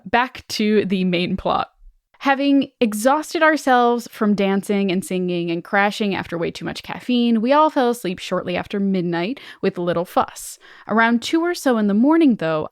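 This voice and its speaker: A woman's voice: mid-range voice, no room sound. Woman reading spooky stories, very dry sound. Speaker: podcast co-host reading listener stories. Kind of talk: expressive story reading with casual asides.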